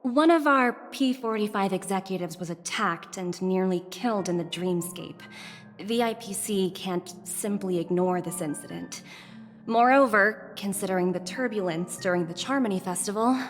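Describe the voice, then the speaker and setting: A woman acts as a businesslike young investment officer in a video game. Her medium-pitched delivery is formal and assertive, ringing in a large hall with light music underneath. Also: normal speed, close to an everyday voice. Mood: firm, pressing.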